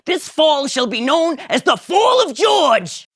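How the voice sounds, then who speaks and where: A woman's voice: fairly high pitch, big pitch swings, quick pace, pushed and bright, breathy edge, faint music behind, pitched above the performer's own voice, full character voice. A woman voicing a neurotic, anxious man who whines and frets. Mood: whiny. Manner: whiny, exasperated.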